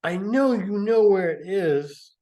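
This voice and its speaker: Man doing a drunken, abusive storybook mother: high, loud, threatening. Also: expressive, slow pace. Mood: accusing.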